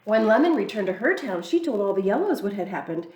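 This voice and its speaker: A woman reading a children's picture book aloud in an expressive, storytelling way. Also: mid-range voice, quick pace, noisy background. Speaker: church reader. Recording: echoey room, mic far off.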